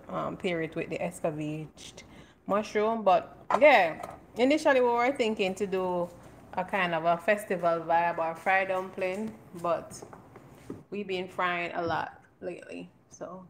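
A woman chatting casually as co-host of a live cooking stream, relaying questions and explaining the food. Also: fairly low pitch. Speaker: co-host reading viewer comments. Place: indoor home kitchen. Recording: noisy kitchen, chopping and clatter. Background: chopping, clicks and clattering dishes.

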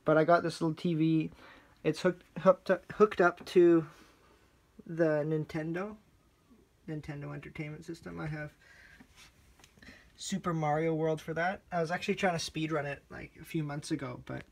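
Young adult male vlogger, a touch high in pitch; informal, low-key home-tour delivery into a handheld camera. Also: steady tone, moderate pace, vocal fry, pauses often. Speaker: gaming YouTuber. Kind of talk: casual home tour vlog. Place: small furnished basement rooms indoors. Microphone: handheld camera's built-in mic.

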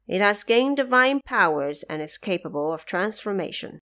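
A woman voicing a confident, boastful old male immortal elder in an audio drama, speaking slowly and deliberately. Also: mid-range voice, lively intonation, fairly bright tone, close to an everyday voice. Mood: proud.

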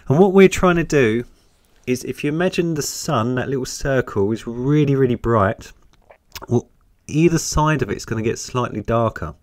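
A man with a cold, croaky and deep, chatting through a step-by-step painting lesson with an encouraging manner. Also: darkish tone, no echo, studio quality. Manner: chatty, encouraging, thinks aloud while painting. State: hoarse with a cold. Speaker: painting teacher.

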